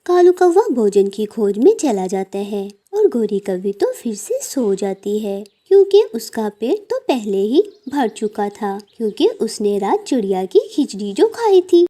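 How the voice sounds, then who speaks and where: A woman's voice: on the high side, dark tone. A woman narrating a children's cartoon story.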